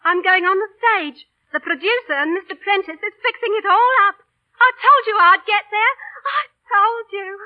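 An actress playing an ambitious, quick-tempered female street busker in an old radio drama. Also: extremely high pitch. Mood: excited, defiant. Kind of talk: 1940s radio play acting. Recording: tinny, band-limited old radio recording.

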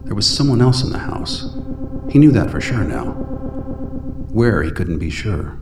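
A man narrating a radio play in a measured, solemn storytelling manner. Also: fairly low pitch, sing-song, over music.

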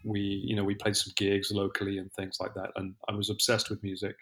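A middle-aged man, a musician guest on a podcast, talking reflectively with many pauses and restarts. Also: lowish voice, completely flat delivery, fairly bright tone. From England. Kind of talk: podcast interview.

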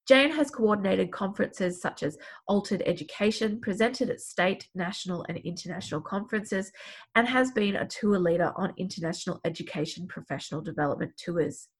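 A woman hosts a podcast, her voice husky and clogged from a head cold. She reads introductions and chats with her guests, laughing easily, at a middling pitch. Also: a little fast. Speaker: podcast host. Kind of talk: scripted podcast intro and casual chat.

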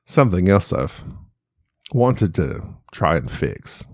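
A middle-aged man with a nasal voice hosts his podcast alone and wanders from thought to thought. His pitch rises and falls in lively swings. The recording is close and dry, with no room sound. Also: slow pace, no echo. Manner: rambling, self-mocking, chatty asides. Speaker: podcast host. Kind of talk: solo stream-of-consciousness podcast.

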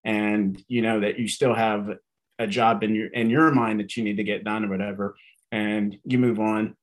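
A man's voice: on the low side, little pitch movement, normal speed. Man telling stories, rambling with fillers. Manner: rambling, acts out quotes, many fillers. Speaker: panel guest. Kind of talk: casual panel discussion.

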